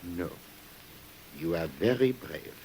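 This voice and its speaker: An actor playing a shrewd, suspicious French police commissioner in an old radio drama, formal, courteous and probing, with an air of authority. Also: male voice, deep voice, lively intonation, slow pace, a little mellow, exaggerated voice, rough recording. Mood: polite. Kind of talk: 1950s radio drama acting. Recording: hissy old radio recording.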